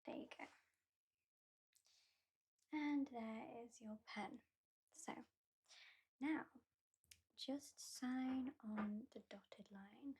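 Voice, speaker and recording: A haughty British vampire lady, played by a woman in an ASMR roleplay, soft and breathy with a slightly raised, mellow tone. She drawls slowly and teasingly, talking down to her new mortal pet and often sinking into a close-up whisper. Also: a little high, exaggerated voice. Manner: slow, teasing, condescending, often drops to a whisper. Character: haughty female vampire who claims the listener as a pet.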